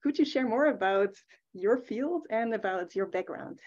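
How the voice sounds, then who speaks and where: A woman with a mellow, mid-pitched voice acts as host and introduces guests. The recording is a bit muffled. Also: animated, normal speed. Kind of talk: introducing speakers. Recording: dull, muffled call audio.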